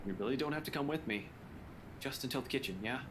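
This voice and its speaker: An actor playing a determined, protective police officer in a visual novel game, blunt, terse and commanding. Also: male voice, mid-range voice, fast, very noisy, natural voice. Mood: firm, stubborn.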